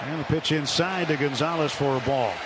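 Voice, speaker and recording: A man calls baseball from a stadium booth, his voice sitting a bit high. Loud crowd noise roars behind him on the radio broadcast. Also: fairly quick. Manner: calls big plays with rising, shouted excitement. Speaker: play-by-play announcer.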